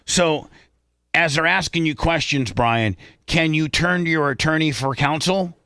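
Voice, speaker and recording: A man's voice: a little high, a little slow, pushed and bright, clean tone, no echo, studio quality. An American man hosting a casual radio talk show, asking blunt, pressing questions.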